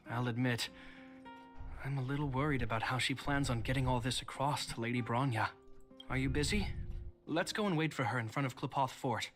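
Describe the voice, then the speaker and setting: An actor voicing an earnest young man, a worried older brother, speaking in a measured, thoughtful way with frequent sighs. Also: medium pitch, fairly bright tone, soft background music, natural voice. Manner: measured, thoughtful, sighing often. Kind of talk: game voice acting.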